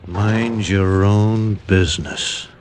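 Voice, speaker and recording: A man's voice: on the low side, fairly even intonation, extremely slow, loud music behind the voice. An actor playing a mocking, menacing gangster thug with a sneering tough-guy delivery in an old-time radio crime drama. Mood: sneering menace. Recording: noisy old radio recording.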